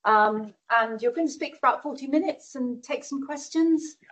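A woman hosting a talk, introducing the guest speaker in a halting way as she searches for words. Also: high-pitched, a bit bright. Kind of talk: event introduction.

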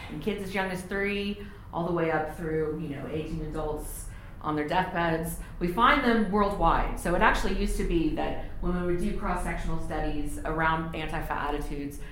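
A woman, giving an academic talk as a visiting lecturer, measured with frequent ums and restarts. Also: low-pitched, fairly bright tone. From Texas, United States. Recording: very echoey room, faint noise.

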